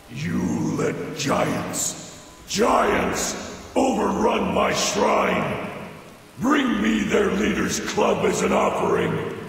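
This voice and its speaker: An actor playing a scornful, wrathful orc god in a video game, disembodied and booming, with a reverberating effect. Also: male voice, gravelly, fairly bright tone, full character voice. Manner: booming, slow, contemptuous. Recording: echoing, distant voice with hiss and rain.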